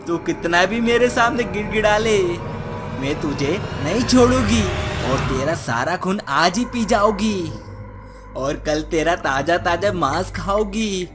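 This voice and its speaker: A woman's voice: on the low side, lively intonation, a little slow. A woman voicing a menacing, scolding witch mother in a cartoon story, with music behind her. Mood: menacing, gloating. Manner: gloating, scolding, threatening.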